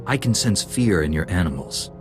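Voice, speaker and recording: A stalwart knight of a space fantasy game, grave and resolute. His voice is low and deep, with music clearly playing behind it. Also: lively intonation, normal speed. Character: stalwart, righteous male Jedi knight hero. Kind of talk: video game dialogue acting.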